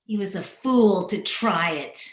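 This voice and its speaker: A woman's voice: medium pitch, animated, takes it slowly. A woman playing a bitter, selfish old frontier widow in an old-time radio western, with taunting, rustic phrasing. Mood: sharp, scornful. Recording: roomy sound, recorded over internet call.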